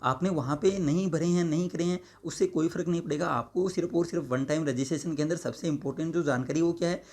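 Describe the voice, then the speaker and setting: A hoarse man, explaining exam registration while answering viewer comments and tagging his sentences with "है ना" and "ठीक है". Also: fairly high pitch, fast talker, a little mellow. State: hoarse, sore throat. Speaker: exam-prep YouTuber.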